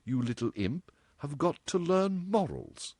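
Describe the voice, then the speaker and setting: Man putting on a cheeky high-pitched teenage office girl. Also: sing-song, a little slow, a little mellow, husky, dry recording, studio quality, full character voice. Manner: slangy, exuberant, emphatic. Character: cheeky, breezy eighteen-year-old office girl.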